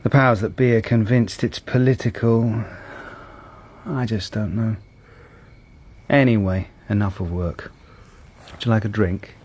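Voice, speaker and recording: An actor playing a matter-of-fact, world-weary police detective in a naturalistic radio drama, casual and pragmatic. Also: male voice, fairly even intonation, unhurried, pauses often, darkish tone. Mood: tired, low-key. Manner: casual, pragmatic, low-key.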